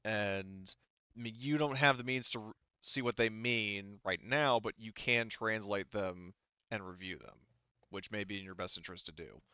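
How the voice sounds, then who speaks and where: A man running a tabletop roleplaying game as game master, improvising casual narration in a halting, self-correcting way with many fillers. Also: fairly low pitch, sharp tone, smooth, no room sound, dull audio.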